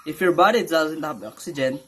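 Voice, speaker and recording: A man's voice: a little high, lively intonation, brisk, sharp tone, slightly rough, heavily acted. A man playing a self-important respiratory system that explains its case in an amateur educational reader's theater play. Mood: insistent. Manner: explanatory, insistent. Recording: home recording, noise, animal sounds.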